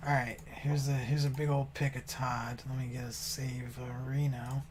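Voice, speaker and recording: A man's voice: medium pitch, level tone, normal speed, a little mellow, slight background noise. A man in his early thirties chatting casually while gaming, slangy and playfully teasing. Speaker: racer and streamer in a community race. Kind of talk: casual gamer chat.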